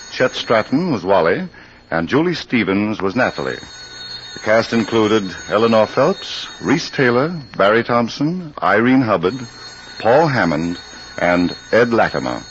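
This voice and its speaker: A man with an American accent hosting a 1940s radio anthology with measured, formal scripted narration, on a muffled, noisy old recording. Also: on the low side, expressive.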